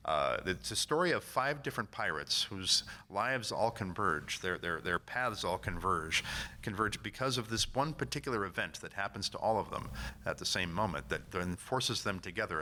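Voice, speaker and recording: A man with a deep but bright, forceful voice presents informally on a panel. He explains haltingly, repeating words as he goes, with faint noise from the convention room. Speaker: audio drama producer, panel presenter.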